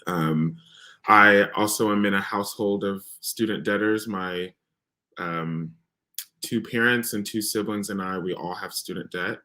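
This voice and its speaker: A man with a deep voice introduces himself on a video-call panel. He speaks in an easygoing, upbeat way, with fillers along the way. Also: a little slow, sharp tone. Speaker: debt organizer.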